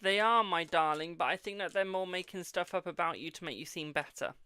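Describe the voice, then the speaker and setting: A man's voice: high-pitched, fairly quick, sharp tone, smooth. A man hosting a live-stream tarot reading, answering viewers' questions in a chatty, reassuring way and calling them "my darling".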